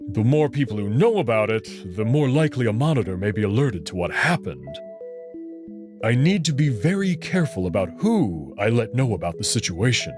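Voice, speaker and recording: Man voicing an assured, lively wolf noble over music. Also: on the low side, moderate pace. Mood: earnest, reassuring. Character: confident, teasing male alien wolf noble, protective. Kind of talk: visual novel character acting. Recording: music behind the voice.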